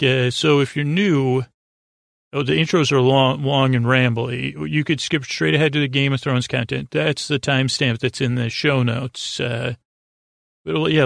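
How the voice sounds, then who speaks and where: A man hosting a bedtime podcast, talking in a meandering, halting, whimsical ramble full of repeated words and self-corrections. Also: medium pitch, vocal fry, fairly bright tone, no echo, studio quality. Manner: whimsical, absurd tangents. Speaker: podcast host. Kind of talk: rambling scripted-free bedtime podcast intro.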